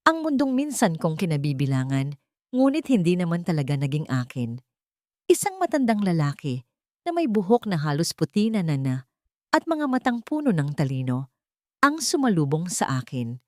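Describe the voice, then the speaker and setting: A woman reading a scripted first-person dramatic story as narrator, in an earnest, deliberate way. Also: deep voice, darkish tone, no room sound, clean studio sound.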